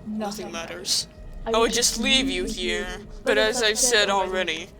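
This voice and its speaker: A woman's voice: medium pitch, measured pace, over loud music, natural voice, rough recording. A woman playing a cold, closed-off young human fighter in an audio drama, speaking in a curt, detached way. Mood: cold, merciless.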